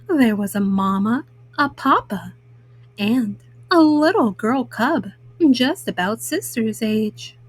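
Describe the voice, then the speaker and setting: A woman hosts storytime and reads a picture book aloud. Her voice is high, and she takes her time, phrasing everything for young children. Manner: measured, child-directed delivery. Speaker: storytime host and reader.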